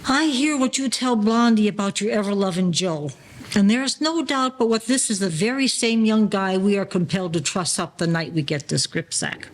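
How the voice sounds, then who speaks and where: A man's voice: high-pitched, expressive, normal speed, poor audio. A man playing a wary old-time gangster in an audio play, speaking in formal, slangy phrases. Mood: reflective. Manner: slangy, formal present-tense gangster talk.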